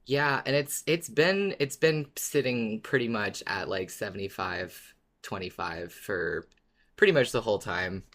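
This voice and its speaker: Podcast co-host, medium pitch, casual fan discussion, enthusiastic, giggly. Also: measured pace, fairly bright tone.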